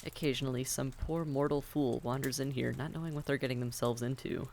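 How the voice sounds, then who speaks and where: A man's voice: medium pitch, steady tone, brisk, vocal fry, a little mellow, slight background noise, close to an everyday voice. A man playing a friendly, reassuring vampire innkeeper in a fantasy audio roleplay, speaking courteously and conversationally to the listener. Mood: welcoming.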